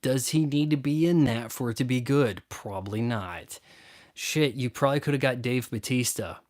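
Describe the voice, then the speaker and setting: A man's voice: mid-range voice, normal speed, a little mellow. A man hosting a live stream, casually answering viewer questions in a blunt, opinionated, rambling way.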